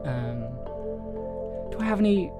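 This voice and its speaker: Man, casual streamer, thoughtful and halting, medium pitch, chilled soundtrack behind. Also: expressive. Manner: hesitant, thinking aloud, jokey. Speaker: streamer and audio drama writer. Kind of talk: casual livestream chat, reading aloud from own story draft. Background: chill soundtrack music.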